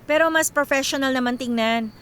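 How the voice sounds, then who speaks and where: An actress playing a tired, generous young Filipino breadwinner daughter in a radio drama, with a wry, teasing manner. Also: female voice, high-pitched, fairly quick, fairly bright tone, smooth. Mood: practical. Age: young adult. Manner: teasing, self-deprecating.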